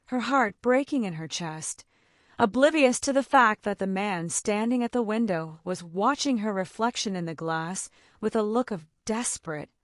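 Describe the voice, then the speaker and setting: A woman narrates a romance story with expressive, dramatic delivery. Her pitch moves freely across a wide range. The recording is dry and close, with no room sound. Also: dry recording.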